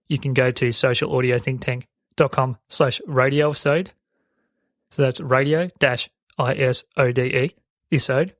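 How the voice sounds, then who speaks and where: Man, Australian accent, sibilant, medium pitch, dry studio sound, podcast host explaining. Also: no echo. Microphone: close broadcast dynamic microphone.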